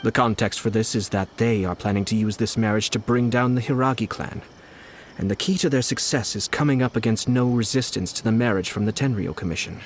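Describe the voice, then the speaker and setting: An actor playing a composed, scheming young noble strategist in a game, speaking in a measured, persuasive way. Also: male voice, on the low side, slight background noise, natural voice. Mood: calm scheming. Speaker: game character. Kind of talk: game voice acting.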